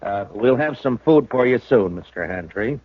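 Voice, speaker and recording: An actor playing a calm, firm frontier marshal who also narrates, in a 1950s radio western, with an unhurried way of talking. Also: male voice, mid-range voice, slight background noise, natural voice. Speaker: narrator and lead. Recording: muffled vintage radio broadcast.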